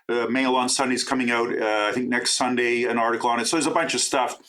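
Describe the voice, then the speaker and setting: A middle-aged man from Canada, a professor and author, answering interview questions in a talkative, enthusiastic way with quick asides. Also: mid-range voice, steady tone, fairly bright tone.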